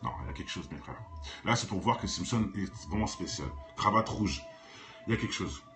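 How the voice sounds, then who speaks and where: A man's voice: deep voice, lively intonation, brisk, a bit bright. A man giving casual, improvised commentary in informal, slangy speech, addressing viewers directly and repeating phrases insistently for emphasis. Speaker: online video commentator. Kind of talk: casual improvised commentary on cartoons and conspiracy theories. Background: music.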